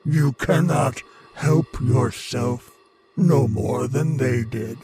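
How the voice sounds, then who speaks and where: A man's voice: mid-range voice, mellow, soft background music, lightly acted. A man playing a cold, arrogant alien monster in a horror radio drama, menacing and commanding. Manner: slow, menacing, commanding repetition.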